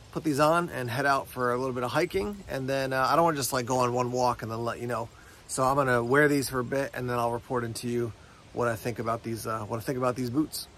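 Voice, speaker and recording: A middle-aged American man talks casually to the camera as a gear review vlogger. His mid-pitched voice is conversational and unscripted, with some hesitation as he finds his words. He is recorded outdoors with clear ambient noise behind him. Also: a bit bright. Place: outdoors, woods, yard and parking lot.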